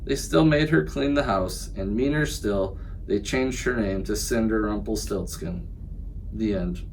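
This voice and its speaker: A man, a teacher, reading a children's picture book aloud in a playful, expressive way. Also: on the low side, measured pace, slight background noise, low-quality recording. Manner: lively, playful expressive narration. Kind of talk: children's story read-aloud.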